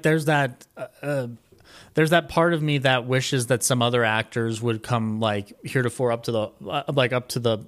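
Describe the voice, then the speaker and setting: An American man with a medium-pitched voice talks as a guest on a podcast. His delivery is casual and conversational, with the rambling enthusiasm of a devoted film buff. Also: fairly bright tone. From Colorado, United States. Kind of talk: casual interview chat about films and his life.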